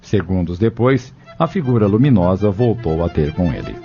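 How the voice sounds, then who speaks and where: A male narrator reads a scripted audio drama in a low, mellow voice. The recording is a little dull, with faint music behind him. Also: lively intonation, normal speed.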